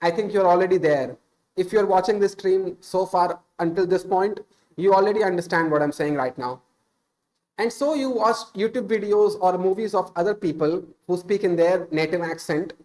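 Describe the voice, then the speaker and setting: A man's voice: sits high, normal speed, a little mellow. A man in his thirties with an Indian accent, whose first language is Hindi, giving casual, unscripted English-speaking advice on a stream, earnest and full of small "uh"s and self-corrections.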